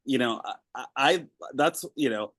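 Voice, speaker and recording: A man's voice: mid-range voice, moderate pace, fairly bright tone, no room sound, clean studio sound. A man from Arkansas, a guest in a podcast interview, talking in an earnest, reflective way and often repeating words as he starts a thought. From Arkansas, USA. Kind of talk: conversational interview.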